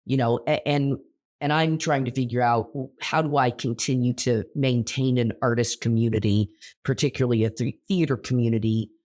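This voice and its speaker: A man's voice: medium pitch, steady tone, normal speed, darkish tone. A man co-hosting a casual podcast as theater director, thinking aloud with many fillers and restarts while recovering from illness.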